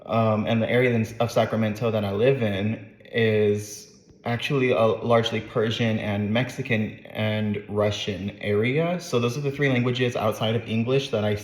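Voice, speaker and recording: A man's voice: on the low side, steady tone, normal speed, a little mellow, echoey room. A man in his late twenties from Northern California with a light Southern accent, chatting casually as a channel host, rambling with fillers and self-corrections. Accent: light Southern US with dropped R's. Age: late 20s. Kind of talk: casual talking-to-camera vlog.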